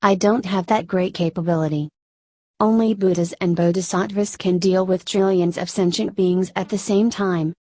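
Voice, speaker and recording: A woman narrates a scripted story in the first person. Her voice sits a little on the low side for a woman. The sound is dry, with very little room in it. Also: fairly even intonation, normal speed, a little mellow, no echo.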